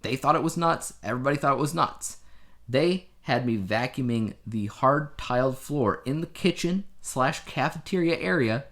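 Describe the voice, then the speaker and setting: A man's voice: mid-range voice, lively intonation, moderate pace, a bit bright. A man co-hosting a casual podcast, talking in a rambling, anecdotal way. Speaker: podcast co-host. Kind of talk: casual conversational podcast chat.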